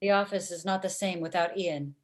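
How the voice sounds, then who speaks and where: A woman playing a blunt female matchmaking robot with formal, deadpan phrasing in a comedy table read over video call. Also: lowish voice, brisk, natural voice. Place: indoor room at home.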